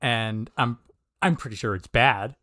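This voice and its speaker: A man's voice: mid-range voice, a little slow, bright, forceful tone, no room sound, studio quality. A man co-hosting a talk show, chatting in an easygoing, joking and colloquial way. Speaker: sumo talk show co-host. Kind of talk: casual chat.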